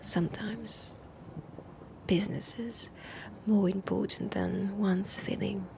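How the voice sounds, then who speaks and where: Woman playing a French-accented vampire aristocrat, whispered and husky, sick-voiced, very slow and gravelly, noisy recording. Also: medium pitch, fairly even intonation. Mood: sly. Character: centuries-old vampire woman, Dracula's sister, aloof. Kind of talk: whispered ASMR audio roleplay.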